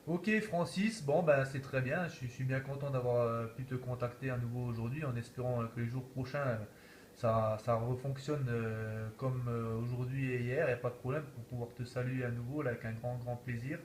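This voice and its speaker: A man's voice: medium pitch, fairly even intonation, fairly quick, slight background noise. A man talking casually as an amateur radio operator, repeating himself and peppering his talk with verbal tags. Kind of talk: casual radio contact chat.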